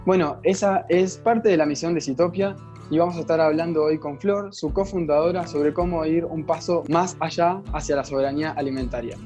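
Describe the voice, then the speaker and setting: A man's voice: on the high side, fairly quick, a little mellow, soft background music. A man with an Argentine accent, hosting a podcast and introducing an interview. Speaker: podcast host.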